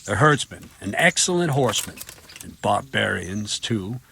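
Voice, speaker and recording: A man's voice: on the low side, big pitch swings, unhurried, gravelly, bright, forceful tone, slight background noise, heavily acted. A man playing a plainspoken, dutiful, slightly grumbling guard captain in a video game, blunt and matter-of-fact. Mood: grumbling, informative. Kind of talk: video game dialogue acting.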